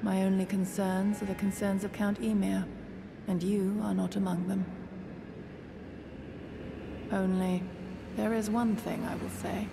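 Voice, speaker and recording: Cold, creaky-voiced loyal retainer. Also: female voice, mid-range voice, flat delivery, a little slow, pauses often, music in the background. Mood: cold warning. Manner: curt, haughty, menacing. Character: cold, curt, fiercely loyal female retainer. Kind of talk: fantasy game acting.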